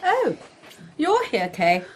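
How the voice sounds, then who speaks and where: An actress in a radio play as a sharp, embittered English schoolmistress who lectures disapprovingly in clipped phrases. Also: female voice, high voice, wildly swooping pitch, takes it slowly, slight background noise, heavily acted, low-quality recording. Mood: indignant. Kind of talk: radio drama acting.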